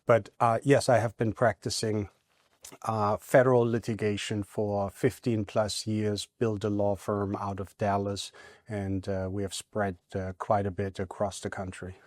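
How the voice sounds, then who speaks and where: A man from Germany with a German accent, a guest attorney in a studio interview who talks haltingly with many fillers and restarts. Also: fairly low pitch, level tone, darkish tone, no room sound.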